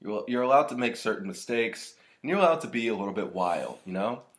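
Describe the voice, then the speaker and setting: A man's voice: lowish voice, brisk. Laid-back man in his twenties. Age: 20s. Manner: animated, laughs often, playful mock voices. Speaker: podcast co-host. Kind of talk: casual chat.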